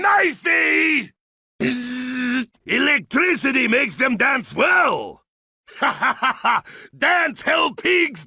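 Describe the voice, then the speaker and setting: An actor with a Russian accent playing a boisterous, crude, drunk Cossack zombie-slayer in a video game, shouting in broken English. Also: male voice, very high voice, gravelly, breathy edge, no echo, clean studio sound, exaggerated voice. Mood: gleeful bloodthirsty. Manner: shouting gleefully, broken English without articles. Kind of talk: video game character voice lines.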